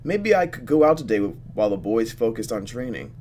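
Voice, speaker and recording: A man playing a visual novel, reading the text aloud and thinking out loud expressively as he goes. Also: mid-range voice, big pitch swings, fairly quick, noisy background. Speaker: let's player reacting to a visual novel. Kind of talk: gaming commentary mixed with reading game text aloud.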